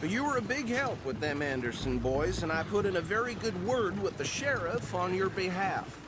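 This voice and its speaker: An actor playing a chatty, officious small-town deputy from the American South in a game, folksy and self-important. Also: male voice, sits high, expressive, normal speed. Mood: confiding. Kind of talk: video game cutscene acting. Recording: clear outdoor vehicle noise behind.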